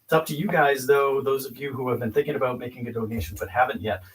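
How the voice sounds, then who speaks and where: A man's voice: medium pitch, steady tone, quick pace, a little hiss or hum, low-quality recording. A man in his fifties hosting a casual radio chat in a studio. He jokes and often restarts his words. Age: 50s. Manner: friendly, joking, stumbles and repeats words. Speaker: radio host.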